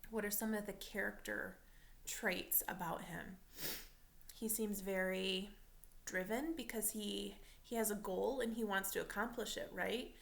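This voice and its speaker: A woman reading a picture book aloud like a teacher, pausing to explain and ask questions of the listeners. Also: mid-range voice, fairly even intonation, vocal fry. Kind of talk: expressive storybook read-aloud with teaching asides.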